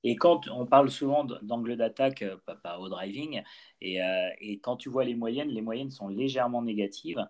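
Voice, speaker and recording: A man hosting a casual interview, asking halting, informal questions about golf. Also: medium pitch.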